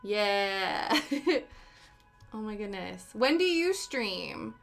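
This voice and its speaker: A woman's voice: medium pitch, sing-song, very slow, creaky, a bit bright. A young woman from Canada chatting casually with viewers on a live stream, answering chat with giggly asides. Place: home, living in the UK. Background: faint background music.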